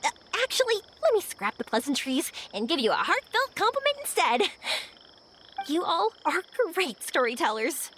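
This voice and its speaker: A woman voicing a spirited, adventurous and warm young woman in a video game, expressive and enthusiastic. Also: high-pitched, normal speed, slight background noise, exaggerated voice. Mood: cheerful, warm.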